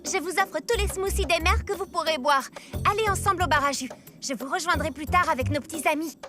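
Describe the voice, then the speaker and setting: A woman's voice: extremely high pitch, fairly quick, music in the background. A woman voicing an anxious, devoted little sister in a dubbed children's cartoon, earnest and fretful. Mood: generous.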